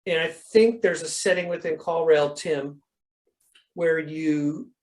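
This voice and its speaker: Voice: mid-range voice, slow, a little mellow. An instructor explaining things informally in a question-and-answer coaching session over a video call, in a conversational way that often qualifies itself.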